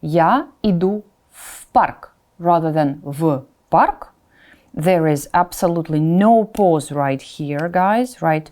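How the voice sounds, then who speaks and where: A woman with a very mellow, fairly low voice leads a pronunciation lesson. She speaks slowly and repeats example words distinctly for learners. Her informal check-ins break up the instruction. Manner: informal asides, checks in with "right?". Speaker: Russian language teacher.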